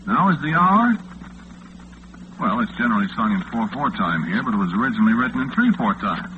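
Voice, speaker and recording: An American actor playing a lonesome, affable late-night disc jockey in 1940s radio style, chatting in a folksy, hesitant way. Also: male voice, mid-range voice, expressive, brisk, close to an everyday voice. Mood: friendly, obliging. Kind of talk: 1940s radio acting, one-sided phone chatter. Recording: muffled, noisy 1940s radio recording, phone-like band.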